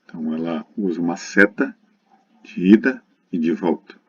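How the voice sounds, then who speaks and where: A man's voice: low voice, expressive, normal speed, a little mellow, breathy edge. A Brazilian man giving a recorded math lesson in a didactic, explanatory way, addressing students directly. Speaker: lecturer. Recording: dull lo-fi muffled recording.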